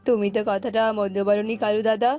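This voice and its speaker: Cartoon role: woman as henpecked poor bird husband, whiny and pleading, mid pitch, music under a dull recording. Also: flat delivery, fairly quick, close to an everyday voice. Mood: complaining. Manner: whining, pleading. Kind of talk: cartoon voice acting.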